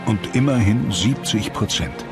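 A man with a deep voice narrates a historical documentary. His delivery is scripted, and music runs clearly beneath his words. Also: lively intonation, a little slow.

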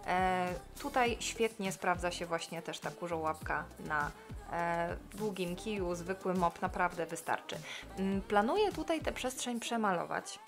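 Chatty woman over soft music. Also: mid-range voice. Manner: chatty, relaxed, many fillers. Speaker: cleaning vlogger. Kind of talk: casual voice-over chat.

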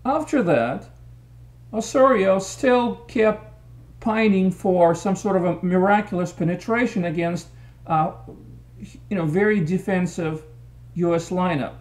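A man's voice: sits high, unhurried. A middle-aged man giving an opinionated post-match soccer analysis, talking to a webcam in a small room. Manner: opinionated, gestures while explaining tactics. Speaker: soccer commentator. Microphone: webcam at desk.

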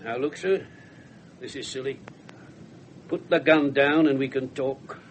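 An actor playing a wry, blunt English detective inspector in a radio drama, talking colloquially. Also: male voice, mid-range voice, fairly even intonation, measured pace, slight background noise, lightly acted. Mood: wry, conversational. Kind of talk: 1970s British radio drama acting.

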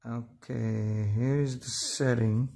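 A man giving an informal step-by-step tech tutorial, explaining in a halting, repetitive way. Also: mid-range voice, steady tone, vocal fry, dark tone. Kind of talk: informal step-by-step how-to narration.

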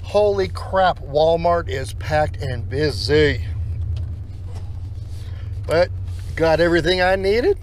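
American male vlogger, thirties, higher-pitched, folksy and playful, casual driving chat with noticeable engine and road noise. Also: lively intonation, slow pace, long pauses. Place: inside a pickup truck cab while driving. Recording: noisy car interior, engine and road noise.

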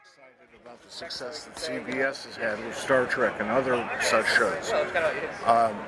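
A man's voice: mid-range voice, fairly even intonation, moderate pace, loud music behind the voice. An older man talking casually as an interview guest. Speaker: interview guest, comic book editor. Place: busy comic convention booth. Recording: loud convention crowd behind.

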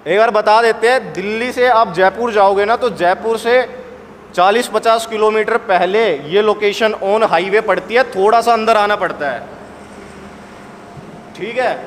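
A man's voice: sits high, unhurried, fairly bright tone. A man, chatting casually to camera as a vlog host, explaining things step by step in colloquial speech. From India. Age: 20s. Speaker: host of a haunted-location exploration vlog. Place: large derelict factory and overgrown grounds at night. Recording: echoey derelict building with clear background noise.

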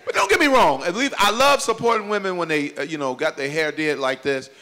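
A man in his forties from doing stand-up comedy at a church celebration, swinging between loud high exclamations and low confiding asides. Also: lively intonation, fast. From Chicago, USA. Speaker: comedian pastor. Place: banquet hall at a church event. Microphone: handheld microphone. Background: audience laughter.

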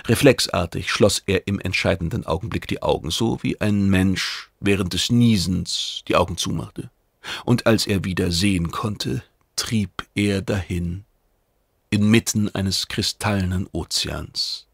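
Low, gravelly male audiobook narrator. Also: measured pace, dry recording, studio quality. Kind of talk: scripted dramatic narration of a science fiction novel.

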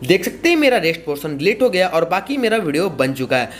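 A young man hosts a casual tutorial. His pitch moves up and down in a lively way as he explains each step, often repeating himself. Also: fast. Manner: repetitive, explanatory. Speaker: tutorial host.

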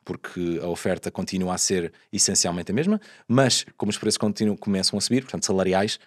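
A man in his thirties with a European Portuguese accent, a guest talking quickly and animatedly, often repeating words as he thinks. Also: low-pitched, fairly even intonation, no room sound, clean studio sound. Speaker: guest, crypto company founder. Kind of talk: casual interview chat. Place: podcast studio. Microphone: boom-arm studio mic.

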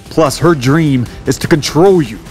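A man's voice: a little high, measured pace, lightly acted. An actor playing a devoted, protective man in a romance audio drama, serious and reassuring. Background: background music.

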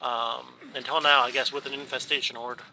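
A man's voice: mid-range voice, level tone, a little fast, sharp tone, a little hiss or hum, low-quality recording. A man with a stuffed, runny nose giving casual commentary over his game footage, rambling along with many ums and restarts. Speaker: gaming YouTuber. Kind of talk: casual let's play commentary.

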